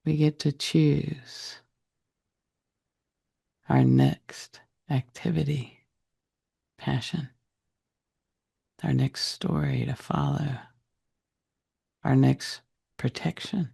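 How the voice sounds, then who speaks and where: A man leading a guided meditation in a soft, breathy, husky voice, speaking deliberately in short phrases with long pauses. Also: mid-range voice, big pitch swings. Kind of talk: guided meditation, slow soothing narration.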